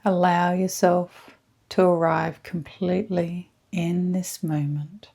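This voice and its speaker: A woman guides a meditation in a very unhurried, measured voice. Her tone is mellow and hushed, staying quite even in pitch. She pauses often between short, soothing phrases, with some breathiness. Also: gravelly. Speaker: guided meditation leader, energy healer. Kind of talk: slow scripted guided meditation.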